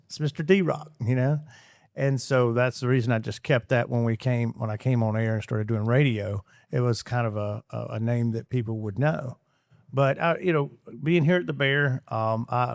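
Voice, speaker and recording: A man's voice: mid-range voice, steady tone, moderate pace, no echo. Male radio guest, chatty rambler, dry studio sound. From Georgia, US. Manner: rambling, repeats words, many fillers. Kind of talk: casual interview chat.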